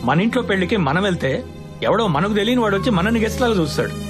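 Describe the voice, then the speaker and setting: A man's voice: sits high, animated, racing. A man playing an outspoken, principled middle-aged family elder in an emphatic film comedy-drama. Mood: impassioned. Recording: film soundtrack with clear music.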